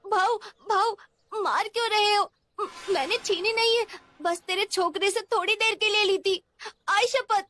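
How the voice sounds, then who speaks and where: A woman's voice: extremely high pitch, unhurried, pushed and bright, a little hiss or hum, pitched above the performer's own voice, full character voice. A woman voicing a poor young orphan boy in a cartoon story, pleading in Mumbai street slang. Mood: crying, protesting.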